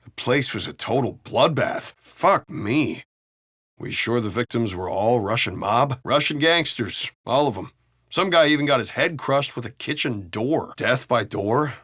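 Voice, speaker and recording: A man's voice: mid-range voice, lively intonation, measured pace, fairly bright tone, dry recording, studio quality. A man playing a brash, griping American city cop in video game banter, talking in a colloquial, wry and profane way. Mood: uneasy. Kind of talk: casual in-game banter dialogue.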